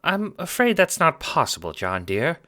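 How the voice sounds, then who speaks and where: A sweet old clownish grandmother ghost, acted out by a man, pitched high and swooping freely. The delivery is bright, theatrical and folksy, full of doting endearments, as in an audio drama. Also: wildly swooping pitch, normal speed, full character voice. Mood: gentle, grave. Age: older. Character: kindly, playful elderly grandmother spirit, half clown. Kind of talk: audio drama character acting.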